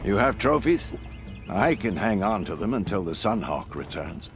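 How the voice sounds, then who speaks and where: A deep-voiced, grave lodge keeper in a fantasy game. He speaks formally and courteously, with an expressive, swinging delivery over music. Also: moderate pace. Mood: impressed. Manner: solemn, formal storytelling delivery. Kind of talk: dramatic video game dialogue. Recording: music behind the voice.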